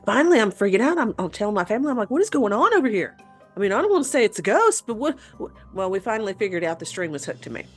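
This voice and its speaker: A woman co-hosting a show in casual chat, speaking in an informal, expressive way. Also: medium pitch, racing, soft background music. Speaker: podcast co-host.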